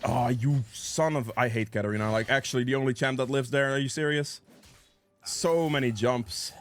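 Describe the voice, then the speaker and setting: A man's voice: mid-range voice, fairly even intonation, fairly quick, a bit bright, soft background music. A man giving casual live commentary on a video game as he plays, thinking aloud and reacting as he goes, sniffly with a cold. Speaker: gameplay guide creator and player.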